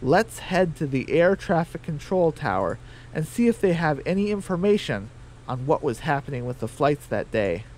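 Animated male storyteller, slightly high voice. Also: normal speed, a little hiss or hum, low-quality recording. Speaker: narrator. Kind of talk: scripted storytelling for a comedy radio drama.

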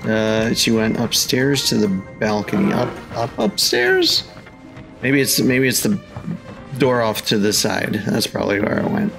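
A man's voice: on the low side, wildly swooping pitch, unhurried, gravelly, over music. A man hosting a casual gaming let's-play, with playful interjections and exclamations. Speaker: gaming host.

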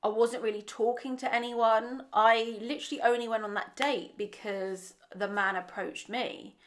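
A young woman speaking earnestly to camera from a bright bedroom, her voice a touch croaky. She talks in a candid, reflective way, restarting a phrase now and then as she thinks, into a microphone on the desk in front of her. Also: on the high side, fairly even intonation, normal speed, slight background noise. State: slightly croaky voice. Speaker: vlogger. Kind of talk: casual reflective monologue to camera.

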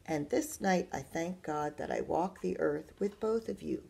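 A woman doing a gentle old grandmother. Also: low-pitched, animated, gravelly, slightly rough, exaggerated voice. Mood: tender. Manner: soft, slow and tender.

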